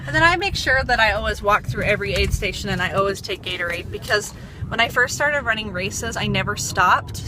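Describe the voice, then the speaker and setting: A woman's voice: medium pitch, expressive, brisk. A woman vlogging in a casual, chatty way, rambling with lots of fillers. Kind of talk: casual chat to camera. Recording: noisy background hum.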